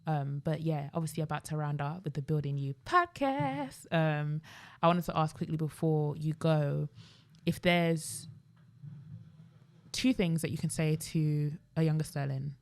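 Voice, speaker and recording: Young London woman with creaky voice. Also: low voice, unhurried. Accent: British, London. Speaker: podcast host. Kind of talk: casual chat.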